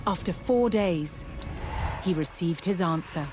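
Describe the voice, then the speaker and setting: A woman delivering scripted documentary narration in a measured, dramatic storytelling manner. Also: fairly low pitch. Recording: music under the voice. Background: background music.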